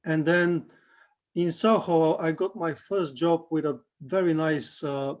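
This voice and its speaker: Male guest, 40s, from Bulgaria, second-language speaker; slightly high voice, halting and modest delivery. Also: steady tone. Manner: hesitant, many fillers, modest. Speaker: guest, 3D character artist. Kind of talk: casual podcast interview.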